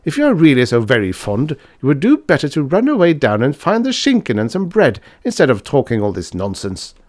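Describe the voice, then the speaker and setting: A pompous, hot-tempered old professor acted by a man reading aloud blusters through a storybook tale. The voice is raised in pitch and loud, swinging widely as it orders everyone about. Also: wildly swooping pitch, brisk, no room sound, clean studio sound. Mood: exasperated. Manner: blustering, imperious, shouting. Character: irascible, pompous older male German professor, bellowing indignantly.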